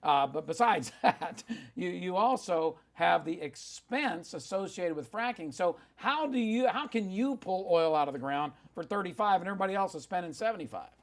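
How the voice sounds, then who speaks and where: Semi-retired male radio host, high-ish voice, brisk and pushy with questions. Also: expressive. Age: older. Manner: brisk, pushes guest with time limits. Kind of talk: talk radio interview.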